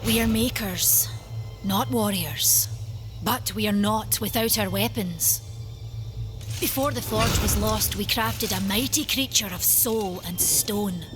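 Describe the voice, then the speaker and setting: A woman's voice: medium pitch, takes it slowly, lightly acted. A woman playing a proud, sturdy forge keeper of an ancient fantasy race in a video game, frank and earnest. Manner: frank, earnest, archaic turns of phrase. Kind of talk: dramatic fantasy game acting. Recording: loud music behind the voice.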